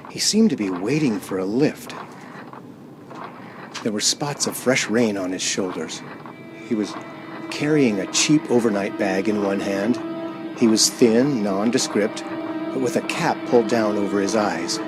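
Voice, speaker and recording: A man's voice: fairly low pitch, lightly acted. Guy acting a nervous lone driver telling his story, radio play style, stammers a bit, music underneath. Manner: hesitant, stammering, dramatic. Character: uneasy young man driving alone across the country. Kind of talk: radio play first-person narration.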